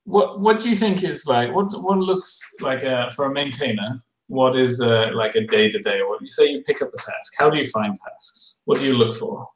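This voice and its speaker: A man hosting a livestream interview over a video call, halting with many fillers and restarts. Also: mid-range voice, reverberant, poor audio. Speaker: host.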